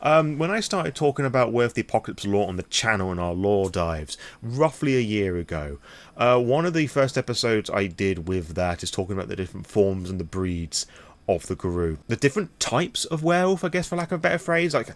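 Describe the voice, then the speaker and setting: Low-voiced British man, chatty vlogger. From Britain. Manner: wordy, conversational, frank with crude asides. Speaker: host of a lore channel. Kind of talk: impromptu vlog monologue, casual and explanatory.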